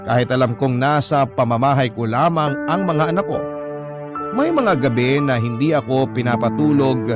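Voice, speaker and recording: A man with a Filipino accent narrating a dramatic first-person story from a script. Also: medium pitch. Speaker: narrator reading a letter sender's story. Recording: prominent music under the voice. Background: loud instrumental music.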